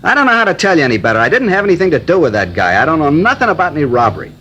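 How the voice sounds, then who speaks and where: A man's voice: a little high, sing-song, very fast, sharp tone, slight background noise. An actor playing a surly young male robbery suspect under police questioning, in a 1950s radio drama. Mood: defiant. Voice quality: surly, evasive and defiant.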